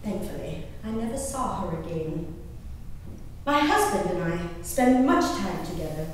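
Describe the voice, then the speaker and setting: An actress plays a spirited, genteel colonial lady performing her diary on stage. Her voice is fairly high and very animated, with prim, old-fashioned phrasing and dramatic pauses. It carries through a strongly reverberant hall. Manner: prim, emphatic, savoring pauses, old-fashioned formal diction. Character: genteel, spirited, haughty English colonial gentlewoman diarist. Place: indoor hall, live performance recorded from a distance. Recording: roomy echoing hall, distant mic, faint noise.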